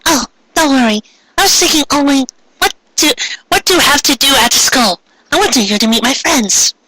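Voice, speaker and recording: A high-pitched, earnest schoolgirl acted out by a man for an amateur story reading. The voice is dainty and eager, with a bright edge and polite delivery. It is recorded close and dry. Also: animated, moderate pace, no room sound, heavily acted, low-quality recording. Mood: flustered.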